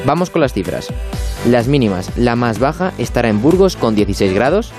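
A man presents the radio weather, briskly reading out lists of temperatures and trading light remarks with the host. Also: medium pitch, sing-song, music in the background. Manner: brisk, reads lists of figures. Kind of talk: radio weather report with banter.

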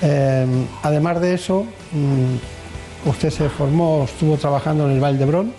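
A man's voice: on the high side, fairly even intonation, a little slow, mellow, soft background music. A man hosting a health radio show, introducing topics and interviewing in a conversational way, often repeating his phrases.

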